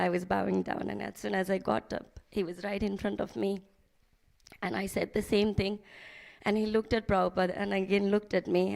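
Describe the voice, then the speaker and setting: Woman from Nepal with a gravelly voice. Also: medium pitch, a little mellow. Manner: heartfelt, halting, with restarts. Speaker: devotee giving a remembrance. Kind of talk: personal reminiscence at a memorial gathering. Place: temple hall.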